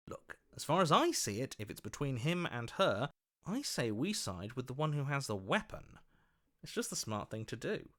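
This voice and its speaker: A man voicing a scheming, flattering opportunist in a video game, with an oily, ingratiating and theatrical delivery. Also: fairly high pitch, exaggerated voice. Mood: weaselly, agreeable.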